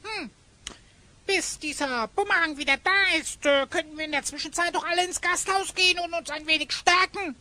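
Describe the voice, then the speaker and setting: A woman's voice: extremely high pitch, moderate pace, pushed and bright. A woman playing a cheeky, food-loving, easily offended sidekick in a children's radio play, indignant and sarcastic. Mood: peckish, sarcastic.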